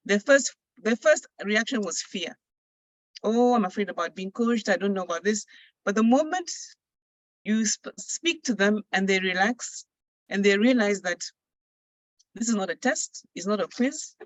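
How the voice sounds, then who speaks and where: A woman's voice: mid-range voice, dry recording, poor audio. A woman with a Ghanaian English accent, speaking earnestly and persuasively as a coach moderating an online panel. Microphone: video call.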